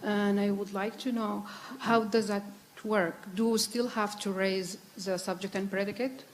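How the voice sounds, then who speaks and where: A woman from the audience asks a question from the floor. She is polite and direct, setting up her question plainly before asking it. Her voice sits at an ordinary pitch. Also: little pitch movement, moderate pace, a little hiss or hum.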